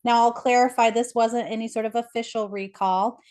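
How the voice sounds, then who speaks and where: A woman's voice: on the high side, fairly even intonation, brisk, a little mellow. A woman speaking as an expert interview guest, explaining things conversationally in an articulate, enthusiastic way.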